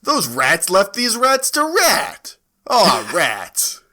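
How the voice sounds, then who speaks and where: A man's voice: sits high, wildly swooping pitch, very slow, bright, forceful tone. A man co-hosting a podcast, narrating a comic and joking about it casually.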